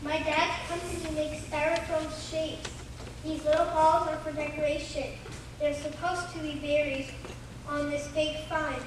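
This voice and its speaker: A woman's voice: high voice, level tone, a little slow. A woman playing a friendly, matter-of-fact young schoolgirl in a live radio play. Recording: echoey live stage recording, distant, noisy.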